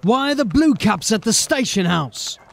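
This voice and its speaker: Grim, gravelly city watchman, acted by a man. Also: high-pitched, sing-song, normal speed, exaggerated voice. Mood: wary. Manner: terse, commanding. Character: grim, determined male city watch officer. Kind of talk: fantasy audio drama acting.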